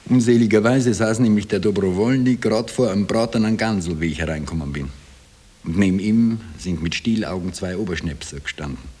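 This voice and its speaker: A man's voice: deep voice, a little mellow, husky. An actor playing a haunted Austrian former corporal, telling his wartime imposture in colloquial dialect in a 1960s radio drama. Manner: colloquial dialect storytelling, broken into short phrases. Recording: hissy old radio tape.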